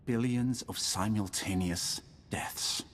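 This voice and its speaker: An actor playing a grave, proud Sith lord in a video game, making measured, ominous declarations. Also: male voice, on the low side. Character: grave Sith lord defector, proud, coldly calculating seer. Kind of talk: dramatic video game acting. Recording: loud music behind the voice.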